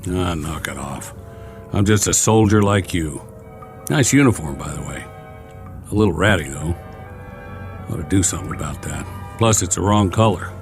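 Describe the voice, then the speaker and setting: A weary soldier in a radio drama, with a low, gravelly voice. He is sardonic and bossy, gruff without being cruel, and his delivery swings widely as he grumbles in plain colloquial speech. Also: animated, normal speed, pauses often. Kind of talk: dramatic radio acting, often talking to himself. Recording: studio radio drama with music behind.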